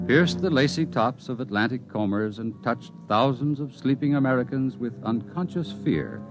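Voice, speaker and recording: A man narrating a futuristic 1950s radio drama in a measured, wry way over music. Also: medium pitch, close to an everyday voice, rough recording. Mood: grave, ominous.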